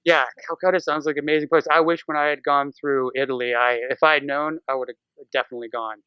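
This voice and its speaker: A man with a smooth, clear voice tells stories in a casual, irreverent podcast chat. His pitch sits in the middle range. The sound is very dry and close, though a little muffled. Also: steady tone, quick pace, dry recording. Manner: whimsical, irreverent. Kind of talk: casual humorous chat and storytelling. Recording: slightly dull, muffled lo-fi audio.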